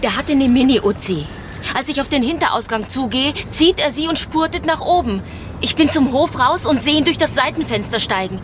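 A woman's voice: high-pitched, expressive, moderate pace, a little hiss or hum. An actress playing an earnest, vulnerable policewoman in a radio crime drama, speaking plainly and directly. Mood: agitated, defensive.

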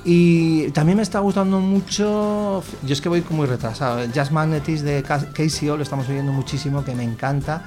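A man's voice: on the high side, fairly even intonation, normal speed, hardly any pauses, darkish tone, faint music behind. A man with an accent from Spain, a musician chatting casually and colloquially as an interview guest. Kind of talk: casual chat.

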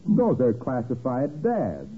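An actor playing a hearty, earnest American salesman delivering a pitch in old-time radio comedy. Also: wildly swooping pitch, slow. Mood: hearty, shouting over din. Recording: tinny, very noisy old radio recording.

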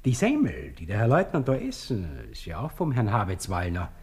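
An actor playing a servile, gossipy coffeehouse waiter with a husky voice and a Viennese accent in a radio drama. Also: male voice, on the low side, wildly swooping pitch, brisk, darkish tone, full character voice. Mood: eager gossip. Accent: Austrian, Viennese. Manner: obsequious, chatty, sing-song deference.

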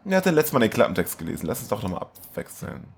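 A man's voice: lowish voice, big pitch swings, quick pace, husky. A man co-hosting a film podcast in a casual, chatty style. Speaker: podcast co-host.

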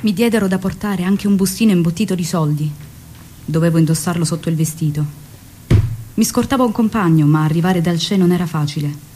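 An actress playing an earnest, ardent woman guerrilla recalling her youth in a measured stage monologue. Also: lowish voice, background noise, natural voice. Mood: earnest, tense.

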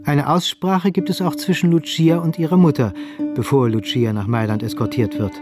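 A man's voice: on the high side, steady tone, moderate pace, over music. A man giving scripted literary narration as the narrator of a radio drama.

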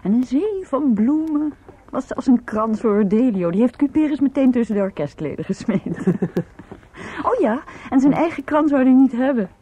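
Middle-aged mom in radio drama, highish lilting voice, calm and chatty, muffled old recording. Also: female voice, moderate pace, husky. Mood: chatty, amused. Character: composed, gently chatty middle-aged mother after an evening out. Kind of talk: radio play acting.

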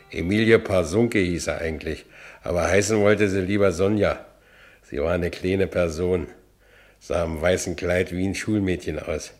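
A man playing a battered, streetwise ex-convict telling his own story colloquially in a radio drama. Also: low-pitched. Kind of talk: first-person radio drama narration.

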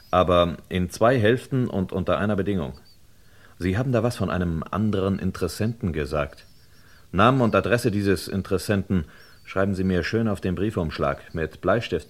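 An actor playing a wary, determined man who speaks in a measured, insistent, questioning way in a German radio crime drama. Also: sits low, expressive. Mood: firm, bargaining. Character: wary, determined man bargaining and probing an old murder.

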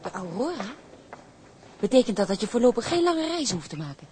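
A woman's voice: fairly high pitch, sing-song, a little fast, mellow, slight background noise. An actress playing an eager, hesitant young woman engaged to a sailor, in a drama. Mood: tentative, probing. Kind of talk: broadcast drama acting.

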